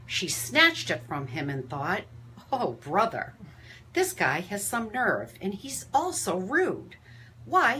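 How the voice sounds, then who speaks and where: A woman hosting a healthcare talk, reading aloud and chatting casually with steady noise behind her. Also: medium pitch, low-quality recording. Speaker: host and healthcare provider. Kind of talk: reading a rhyming story aloud, then casual live chat.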